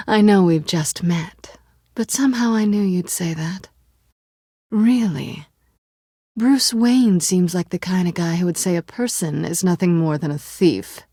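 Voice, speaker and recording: An American woman playing a sly, guarded cat-burglar in a video game, teasing and coolly wry, with a husky, breathy voice. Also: on the low side, dark tone, no room sound, studio quality.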